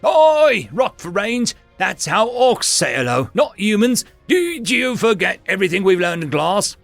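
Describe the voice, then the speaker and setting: A man's voice: sits high, sing-song, measured pace, gravelly, fairly bright tone, no room sound, soft background music, pitched above the performer's own voice, full character voice. A man voicing a firm, blunt young orc girl with an orc accent in a storybook reading. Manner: firm and bossy. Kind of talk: storybook narration.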